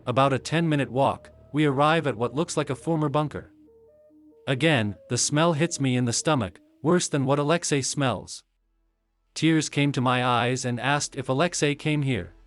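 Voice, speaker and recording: A man reading a spooky first-person story aloud in a calm, measured manner. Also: mid-range voice. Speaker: narrator. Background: soft background music.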